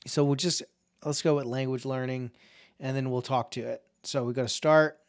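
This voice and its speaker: A man explains a software tool in a loose, conversational tutorial. His voice is smooth and clear at a mid pitch. He talks informally and thinks aloud as he goes. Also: fairly even intonation, moderate pace. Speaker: tech tutorial host. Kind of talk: casual screen-share software walkthrough.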